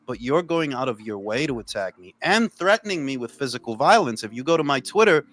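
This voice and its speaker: A man's voice: medium pitch, very animated, a little fast, a bit bright. A man hosting a casual boxing talk show, ranting bluntly and profanely. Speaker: podcast host.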